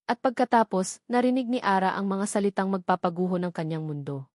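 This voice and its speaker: A woman's voice: medium pitch, brisk, darkish tone, dry recording. Female audiobook narrator, Filipino accent, dramatic scripted storytelling, mid-range voice in a dry close recording.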